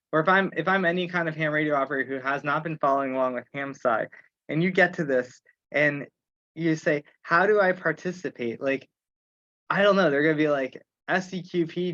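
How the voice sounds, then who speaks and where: Higher-pitched man on a dull call line. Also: fairly bright tone, no echo. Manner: thinking aloud, self-questioning. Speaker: meeting participant and organizer. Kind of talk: informal meeting discussion. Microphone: online conference call. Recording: slightly dull conference-call audio.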